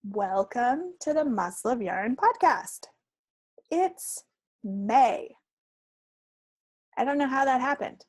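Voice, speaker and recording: A woman's voice: on the high side, expressive, takes it slowly, long pauses, dry recording. A woman co-hosting a casual podcast from home, chatty and playful in her delivery. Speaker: podcast co-host. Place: at home, recording an online call.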